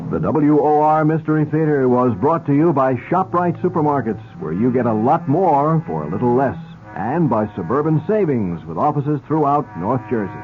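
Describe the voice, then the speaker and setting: A man's voice: mid-range voice, expressive, moderate pace. A man reading a scripted sponsor announcement as a radio announcer. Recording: tinny old radio recording with music. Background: music.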